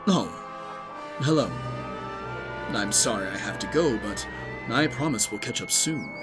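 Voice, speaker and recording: A man playing a suave older villain mastermind in an audio drama, smoothly polite and condescending. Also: lowish voice, animated, measured pace, over loud music. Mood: impatient, then silky.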